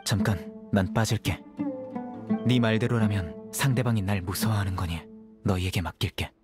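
A man's voice: on the low side, moderate pace, music in the background, close to an everyday voice. A man playing a stern, no-nonsense young warrior in a Korean game, speaking curtly and directly. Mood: curt, serious. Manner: curt, direct. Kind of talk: Korean game dialogue voice acting.

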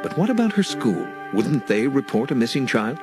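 A man giving grave, measured scripted narration for a television documentary. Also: mid-range voice, sing-song. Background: music.

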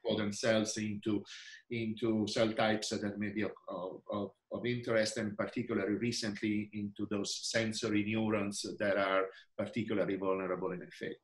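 Older man, Italian accent, low voice, halting speech, video call, echoey room. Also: flat delivery. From Italy. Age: 60s. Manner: halting, many fillers and restarts. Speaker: researcher and physician. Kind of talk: interview answers over video call. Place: indoor room.

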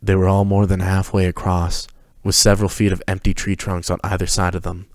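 A man's voice: low voice, monotone, brisk, no room sound, clean studio sound. A man reading scripted fiction aloud as the narrator of a dramatic story.

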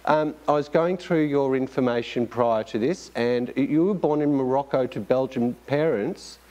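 A man's voice: medium pitch, normal speed, a little mellow, rough recording. A middle-aged man hosting an arts interview show, asking questions with many fillers and restarts. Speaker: host. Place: studio with staircase.

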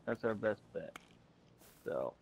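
A man talking a teammate through a game, calm, upbeat and reassuring. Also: fairly low pitch, slow, vocal fry, slight background noise. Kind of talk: live gameplay chat.

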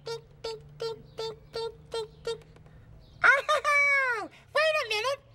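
Tiny high-voiced monster kid, bouncy and giggly, third-person talk, noisy recording. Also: male voice, big pitch swings, a little slow, over music, heavily acted. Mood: eager. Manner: talks about himself in the third person, giggles. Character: small furry red monster child, eager and giggly. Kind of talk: children's puppet show.

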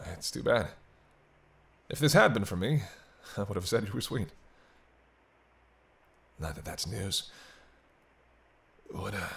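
A man's voice: fairly low pitch, gravelly, a bit bright. A man playing a teasing, century-old vampire in an intimate roleplay audio drama, bantering wryly with hesitant asides. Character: teasing century-old male vampire, sardonic but soft-hearted.

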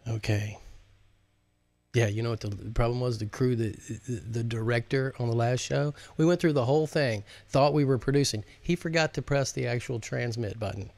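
A man in his fifties speaks close to a studio microphone with a dry, echo-free sound. His voice sits at an average male pitch, suited to informal live-stream hosting and audiobook narration. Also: moderate pace, darkish tone. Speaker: author and host reading his audiobook. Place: indoor studio, close up, virtual backdrop. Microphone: large microphone on a stand in front of him.

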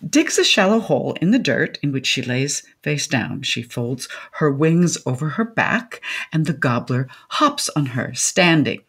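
A woman's voice: sits low, slightly rough, heavily acted. An older woman playing a brazen, cranky widowed grandmother in a comic radio play, acerbic, theatrical and contrary. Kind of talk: comic audio drama acting.

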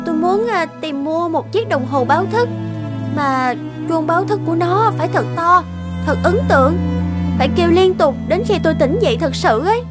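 A woman's voice: extremely high pitch, animated, moderate pace. A woman voicing a spoiled, carefree young party girl in a cartoon, whiny, dramatic and easily flustered. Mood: hesitant. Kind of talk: dubbed cartoon acting. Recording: music behind the voice.

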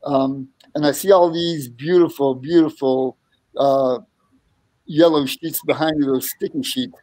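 An older man interviewing an author on a video call from home, speaking gently and unhurriedly. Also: fairly high pitch, steady tone, measured pace, low-quality recording. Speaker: teacher association president, interviewer. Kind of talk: casual conversation on a video call. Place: room at home. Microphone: laptop webcam mic.

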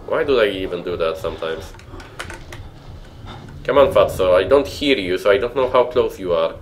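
A man's voice: low-pitched, expressive, moderate pace, a little hiss or hum. A young man from Poland with a Polish accent, streaming a horror game from a room at home, chatting with viewers and muttering nervously. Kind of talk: horror game commentary with chat.

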